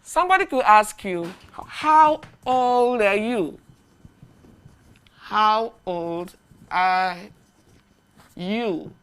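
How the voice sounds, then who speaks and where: Woman English teacher for beginners, unhurried, crisp diction, models phrases to repeat. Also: medium pitch, expressive, fairly bright tone. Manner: slow, deliberate, repeats phrases for learners to echo. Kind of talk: beginner language lesson.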